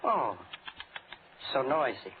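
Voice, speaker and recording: Male villain: polite scheming doctor, precise and insinuating, medium pitch, hissy old radio sound. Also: sing-song, takes it slowly, phone-quality sound. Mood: sly, pleased. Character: suave, fussy criminal doctor, coolly courteous schemer. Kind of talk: 1940s radio thriller acting.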